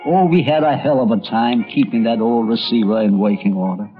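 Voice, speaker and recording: An older man, a radio critic, reminiscing as documentary narrator with animated storytelling. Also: mid-range voice, fairly quick, soft background music. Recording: dull archival radio documentary recording.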